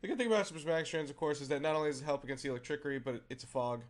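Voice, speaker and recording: A man who is feeling unwell, with a raspy voice, hosting a casual card game show and talking through a deck with many fillers and restarts. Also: fairly high pitch, very fast. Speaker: host.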